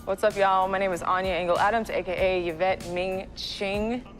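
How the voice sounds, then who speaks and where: A woman from upstate New York and South Florida, an actor introducing herself in a casual shout-out. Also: medium pitch, fairly even intonation, normal speed. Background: music.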